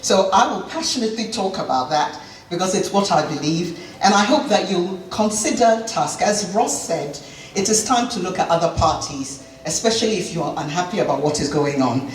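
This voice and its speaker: A woman's voice: fairly low pitch, animated, moderate pace. Middle-aged woman candidate, earnest and passionate, hall echo, mic far off. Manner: earnest, passionate, repeats herself. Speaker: election candidate. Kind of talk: campaign speech at a debate. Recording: roomy hall, distant mic, faint noise.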